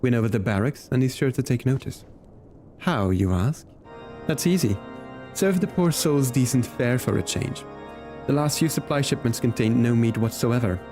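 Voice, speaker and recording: A man's voice: mid-range voice, close to an everyday voice. A man reading game dialogue aloud as a plain-spoken, hearty garrison cook in a fantasy game, with folksy old-fashioned phrasing.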